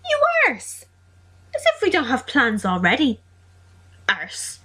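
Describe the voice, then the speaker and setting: A woman's voice: a little high, wildly swooping pitch, takes it slowly, sharp tone, breathy edge, heavily acted. A woman voicing a sardonic seventeen-year-old boy, bantering in a dry, mock-formal way for an amateur audio drama. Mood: mock outrage.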